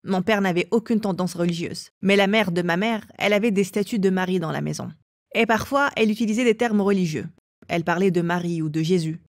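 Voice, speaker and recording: A woman's voice: fairly low pitch, brisk, no echo, studio quality. A woman from England telling her own life story as an interview guest. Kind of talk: personal testimony in an interview.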